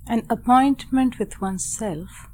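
A woman narrating a guided meditation in a measured, deliberate way, in short phrases with long pauses. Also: on the high side, a little mellow.

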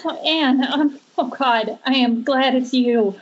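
A woman's voice: high-pitched, slow. A woman playing a frightened, distraught young heroine in an amateur horror script table read. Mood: shaken, confused. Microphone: Skype call. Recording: dull lo-fi internet call.

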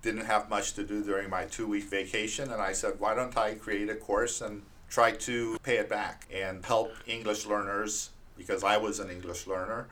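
A man's voice: fairly low pitch, flat delivery, normal speed, hardly any pauses, a bit bright, a little hiss or hum. A middle-aged man from Romania with a Romanian accent, a teacher giving earnest, plain-spoken interview answers. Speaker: literacy teacher, guest.

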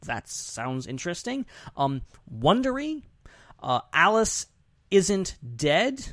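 A male podcast host with a fairly high voice, recorded very dry with little room sound. He chats casually and loosely, rambling a bit and slipping in playful remarks. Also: big pitch swings, slow, fairly bright tone, dry recording. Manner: jokey, informal, rambling.

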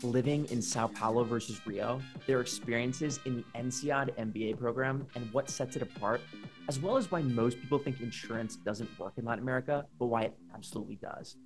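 A man hosting a podcast, introducing the episode and asking guests questions in a brisk, upbeat way. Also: medium pitch, faint music behind. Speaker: podcast host.

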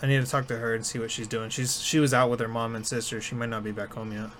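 A man's voice: medium pitch, level tone, quick pace, fairly bright tone, slight background noise. A man co-hosting a podcast in casual chat, easygoing and often repeating words as he starts. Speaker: podcast co-host.

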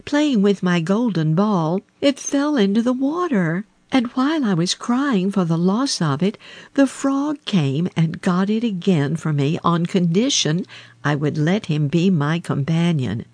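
A woman's voice: lowish voice, animated, a little slow, a little mellow, no room sound, pitched above the performer's own voice. A woman voicing a frightened, spoiled young princess in a storybook, protesting anxiously. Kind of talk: storybook reading.

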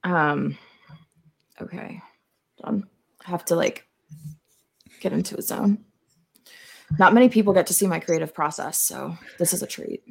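A woman's voice: on the low side, moderate pace, vocal fry, pauses often, a little mellow, slightly rough. A woman chatting casually as a podcast guest, with a playful, theatrical manner.

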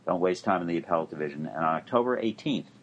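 Deep husky-voiced older law professor. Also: male voice, expressive, lo-fi sound. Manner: dry, measured. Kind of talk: explaining legal news.

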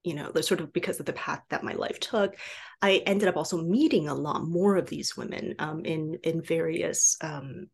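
A woman from Taiwan, a native Mandarin speaker, talking as a guest novelist in a conversational podcast interview, halting as she thinks aloud and often saying "like" and "you know". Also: deep voice, vocal fry, slight background noise.

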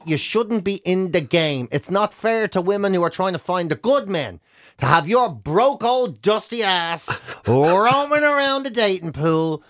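A man's voice: high voice, fairly bright tone, no room sound, studio quality. An Irish man co-hosting a radio show with comic, casual banter, mocking and rambling in colourful slang.

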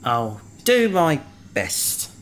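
A man's voice: on the high side, big pitch swings, extremely slow, a bit bright, slight background noise, exaggerated voice. A man playing a small, injured space researcher in a sci-fi audio drama, dazed, guileless and childlike in the way he talks. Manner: woozy, drifting, guileless.

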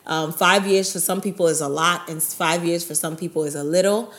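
A woman from Brooklyn, speaking in a fairly low voice. She co-hosts a faith-based talk show alongside her husband. Her talk is chatty and often returns to "by God's grace". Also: fairly even intonation, a little fast, fairly bright tone. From Brooklyn, New York. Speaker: co-host of a ministry show. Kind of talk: casual conversational talk.

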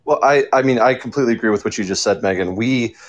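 A man's voice: medium pitch, fast talker, dull audio. A man talking casually as a writer on a discussion panel. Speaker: audio drama writer and panelist.

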